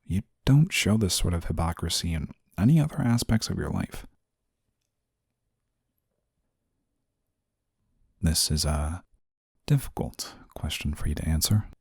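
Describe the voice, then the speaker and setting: A stern, dutiful male guardian angel, acted by a man in an intimate audio roleplay. His voice is low, soft-toned and husky. He speaks formally, with a prim reserve that easily turns flustered. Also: lively intonation, normal speed, no room sound, studio quality, full character voice. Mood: concerned reproach. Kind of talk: scripted audio roleplay, intimate one-sided dialogue.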